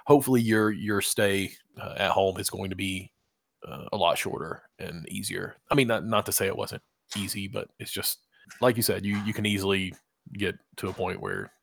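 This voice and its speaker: A man with a low, gravelly voice talks casually, rambling with restarts and agreeing often. The recording is dry and close. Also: steady tone, normal speed, dry recording. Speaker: podcast co-host. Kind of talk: casual chat.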